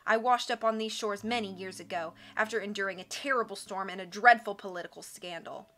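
A woman's voice: medium pitch, fairly quick, fairly bright tone, close to an everyday voice. A woman narrator voicing a weary castaway islander who earnestly warns of trouble.

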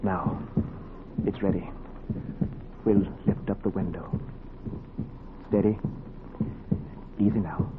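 An actor playing a steady, commanding surgeon in a 1940s radio drama, giving terse, measured orders. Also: male voice, deep voice. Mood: tense, concentrated. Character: commanding, steady male surgeon mid-operation. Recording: tinny, noisy 1940s radio recording.

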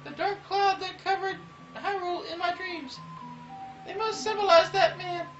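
A woman doing a very high-voiced mocking princess. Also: fairly even intonation, moderate pace. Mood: earnest. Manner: parody dub of game dialogue, crude jokes. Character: young princess, earnest and pleading, mocking. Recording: roomy, distant, dull lo-fi with game music.

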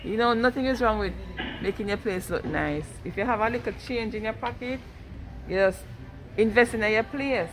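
A woman's voice: on the high side, normal speed, poor audio. A Jamaican woman in casual, chatty conversation while vlogging a shopping trip. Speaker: family vlogger. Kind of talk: casual shopping vlog chat.

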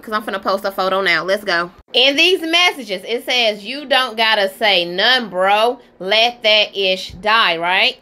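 A woman's voice: a little high, bright, forceful tone. A woman giving casual reaction commentary on gossip, sassy and exclamatory, talking straight to her listeners.

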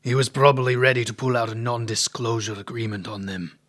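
An actor playing a sly, calculating bear-man henchman in an audio drama, speaking in a measured, conspiratorial way. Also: medium pitch, steady tone, fairly bright tone, lightly acted.

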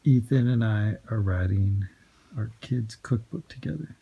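A man's voice: lowish voice, measured pace, creaky, dull audio, slight background noise. A man in his forties, a father narrating a casual family vlog at home into a handheld phone, upbeat and encouraging. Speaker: vlogger and father.

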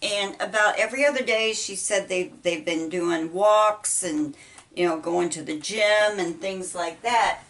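A middle-aged woman with a Midwestern American accent, chatting casually while she folds laundry, talking haltingly with many ums and restarts. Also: mid-range voice, a bit bright. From United States. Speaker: vlogger. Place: small indoor laundry room. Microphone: camera set apart from her. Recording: voice a bit far from mic.

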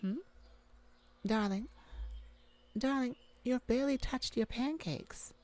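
A woman playing a caring, affectionate mage gardener in a gentle ASMR roleplay audio drama. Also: fairly high pitch, takes it slowly. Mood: tender, reassuring. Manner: affectionate, gentle, full of endearments. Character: tender, caring mage gardener devoted to their werewolf mate.

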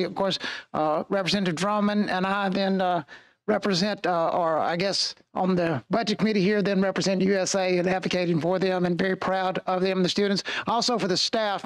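A man with a fairly high voice speaks in a committee hearing, courteous and halting, repeating words and filling gaps with uhs. His voice sounds dry and close, with little room sound. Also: no echo, low-quality recording. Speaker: state representative. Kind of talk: introducing guests at a hearing. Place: large legislative committee room.

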